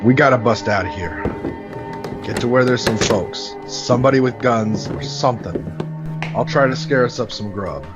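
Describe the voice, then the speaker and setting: A man's voice: mid-range voice, normal speed, loud music behind the voice, lightly acted. Man playing a folksy, urgent truck driver, dull recording. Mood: urgent, gruff. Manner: folksy, slangy, commanding. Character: tough, urgent truck driver taking charge under siege. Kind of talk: horror audio drama acting.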